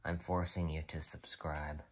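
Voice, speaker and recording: A man co-hosting a casual, unscripted product review, speaking haltingly in short, laconic remarks. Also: a bass voice, little pitch movement, slight background noise. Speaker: co-host of a product review channel.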